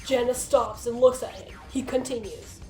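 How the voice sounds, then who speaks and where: Female narrator, high voice, amused amateur comic read, echoey sound. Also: steady tone, moderate pace, warm tone. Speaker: narrator of a group dramatic reading. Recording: roomy echo, faint music behind.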